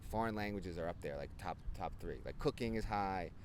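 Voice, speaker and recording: An American man from New Jersey, hosting a casual vlog and musing in a relaxed way, recorded on a handheld camera outdoors. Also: deep voice, a little fast. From New Jersey, United States. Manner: relaxed, musing, many "like" asides. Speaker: vlog host. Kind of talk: casual chat. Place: city street outdoors. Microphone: handheld camera at arm's length. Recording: outdoor city traffic noise.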